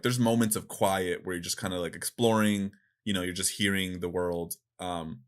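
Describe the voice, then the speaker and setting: A man chatting casually as a podcast co-host, with an animated, informal manner. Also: on the low side. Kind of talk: casual podcast chat about video games.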